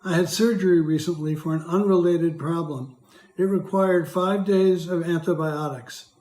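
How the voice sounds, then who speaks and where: An older man with a hoarse, dry voice, reading prepared testimony as a patient in a measured, careful way. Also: fairly high pitch, darkish tone, reverberant. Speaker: patient speaker. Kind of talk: prepared testimony read aloud.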